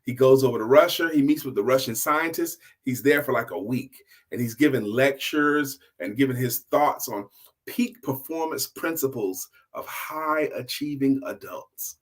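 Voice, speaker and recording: Middle aged guy doing casual storytelling, kinda animated and emphatic, talks like he's got an audience. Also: medium pitch, normal speed. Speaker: storyteller and sales coach.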